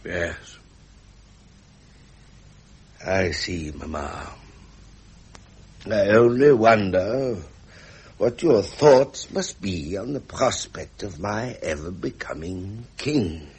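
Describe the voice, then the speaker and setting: An actor playing a wounded, resentful middle-aged British prince in a radio drama, formal and pained. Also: male voice, deep voice, lively intonation, drawn-out words, long pauses, a little mellow, breathy edge, full character voice. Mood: resigned.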